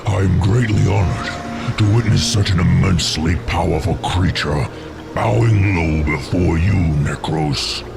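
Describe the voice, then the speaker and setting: A man voices a formal, solemn orc officer in a fantasy game. His voice is low with a rough growl and a measured delivery. Music sits beneath it. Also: full character voice. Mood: reverent. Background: dramatic music.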